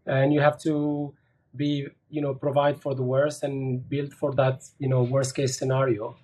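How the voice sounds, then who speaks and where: A middle-aged man on a video call panel, speaking in a measured, thoughtful way with frequent fillers. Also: mid-range voice, flat delivery, a little mellow, breathy edge, low-quality recording. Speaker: managing director panelist. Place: bedroom with curtains.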